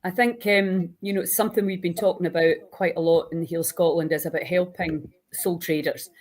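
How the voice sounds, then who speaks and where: Woman, interview host, fairly low pitch, informal, chatty, enthusiastic. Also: steady tone, fairly quick. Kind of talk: warm informal live online interview.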